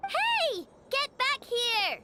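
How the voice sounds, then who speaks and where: A woman's voice: extremely high pitch, wildly swooping pitch, drawn-out words, sharp tone, full character voice. A woman voicing a feisty, stubborn young inventor girl in a video game, with a childlike voice and a defiant manner. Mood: frustrated. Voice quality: childlike, girlish. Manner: defiant, emphatic.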